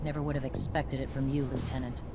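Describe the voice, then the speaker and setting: Low-voiced, stern military captain. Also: female voice, flat delivery, heavy background noise. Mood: probing, reproachful. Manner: measured, authoritative. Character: stern but loyal female military captain. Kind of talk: dramatic video game dialogue.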